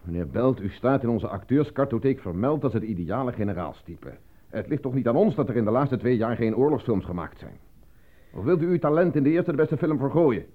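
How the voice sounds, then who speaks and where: A man's voice: medium pitch, lively intonation, fairly quick, close to an everyday voice. A man acting as an evasive, formal casting agent who talks down to a client in a radio drama. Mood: patronizing, evasive. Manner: formal, condescending, bureaucratic. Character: evasive, patronizing male casting agent stonewalling an unemployed actor. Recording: thin phone-like old radio recording.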